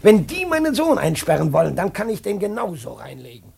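An older German family man in a radio play, proud and stubborn. His voice is gravelly and husky, and it swings in pitch with lively emphasis. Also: a little fast, full character voice. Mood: defiant, bitter. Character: stubborn, proud older father, a weary Berliner husband. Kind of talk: German radio drama acting.

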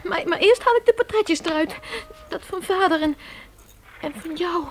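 A woman's voice: very high voice, moderate pace, a little hiss or hum. A woman playing an anxious, dutiful young pioneer girl in a radio play, hesitant and earnest. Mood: reluctant, sad.

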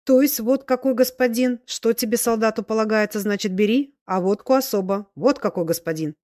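A woman voicing a plain-spoken working man who argues his approval in emphatic, halting folk phrases, for a storybook. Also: fairly high pitch, a little mellow, dry recording, clean studio sound, voice pushed up, natural voice. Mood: earnest approval. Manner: folksy, emphatic, halting argument.

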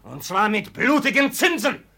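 A brutal farm master in an old radio play, with a very high, hard-edged voice. He is coarse and scornful, a drinker who blusters and curses as if everyone were against him. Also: very animated, normal speed, vocal fry, sharp tone, heavily acted. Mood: angry, threatening. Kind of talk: 1950s German radio drama acting. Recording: old radio play recording, partly off-mic.

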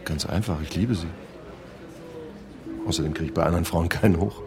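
An actor playing a cynical, needling boyfriend with a dry, sardonic manner in a radio drama. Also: male voice, low voice, brisk, a crowd in the background. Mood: bitter, provoking.

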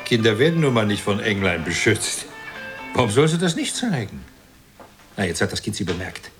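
An actor playing a smug, callous, middle-aged husband in a radio play, with a sneering, opinionated delivery. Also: male voice, lowish voice, very animated, moderate pace, music in the background. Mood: mocking. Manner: sneering, opinionated, self-satisfied. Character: smug, callous well-off husband commenting on television violence. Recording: clearly noisy recording.

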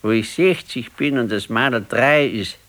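A man's voice: medium pitch, moderate pace, a bit bright, natural voice. An actor playing a sickly, wistful grandfather in his sixties in a radio play, musing in short colloquial phrases with a Bavarian accent. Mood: weary, reflective. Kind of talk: naturalistic radio play dialogue.

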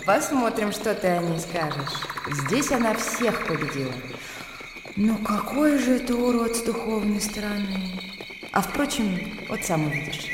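In a reverberant hall with clear background noise, an actress plays a weary widow in a radio drama. She is cool and gossipy, full of self-pity, and phrases things plaintively, like a book. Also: mid-range voice, lively intonation, unhurried, natural voice. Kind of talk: radio play acting.

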